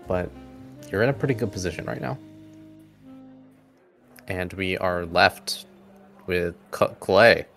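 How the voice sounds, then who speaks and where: Deep, creaky-voiced male game master. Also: slow, long pauses, a bit bright, soft background music. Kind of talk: improvised tabletop game narration.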